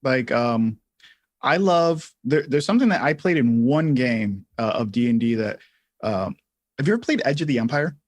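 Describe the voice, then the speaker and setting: Male livestream co-host, mid-pitched, dry close mic; informal, self-deprecating, chatty. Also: lively intonation, moderate pace, no room sound. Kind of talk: casual tutorial chat.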